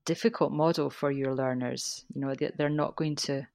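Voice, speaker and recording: A woman's voice: very deep voice, fairly even intonation, normal speed, gravelly, darkish tone, no room sound, studio quality. A woman with a Scottish accent, a teacher trainer answering interview questions in a thoughtful way, restarting her sentences often.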